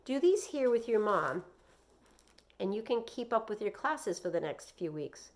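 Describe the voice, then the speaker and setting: A kindly female schoolteacher in a storybook, as a woman reader plays her. She is firm but reassuring, with a measured delivery at a middle pitch. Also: sing-song. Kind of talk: children's novel read aloud.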